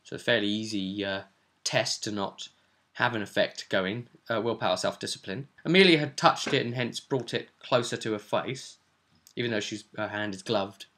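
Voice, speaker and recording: A man's voice: fairly low pitch, normal speed, a bit bright. A man hosting as game master, casually recapping a tabletop role-play session in a rambling, descriptive way.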